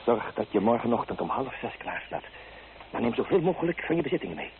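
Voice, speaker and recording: A lively, mocking seducer, tinny recording. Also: male voice, mid-range voice, fast. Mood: coaxing, scornful. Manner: mocking, smooth-talking. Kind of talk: radio play acting. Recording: old tinny, band-limited radio recording with hiss.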